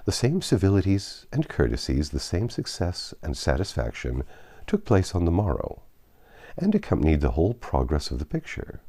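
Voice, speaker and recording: Deep, mellow, expressive male narrator. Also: slightly rough. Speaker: audiobook narrator. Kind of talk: expressive scripted literary narration.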